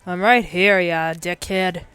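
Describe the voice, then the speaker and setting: A woman's voice: on the low side, steady tone, slow, a bit bright, clean tone, no echo, faint music behind. Casting: indignant talking skunk, male, played by a woman, thick Boston accent, brash, combative, swears freely, audio story. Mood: aggressive, insulting.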